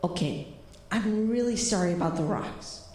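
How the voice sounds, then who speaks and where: A woman's voice: fairly low pitch, very animated, moderate pace, mellow. A young female performer acting in an audio drama as an earnest, level-headed leader of a gang of kids. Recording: echoey reverb, faint music behind.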